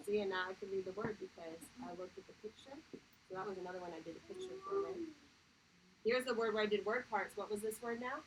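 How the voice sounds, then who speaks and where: A woman's voice: medium pitch, fast, slight background noise, rough recording. A woman elementary teacher leading a read-aloud reading lesson for children, encouraging and emphatic, with plenty of questions.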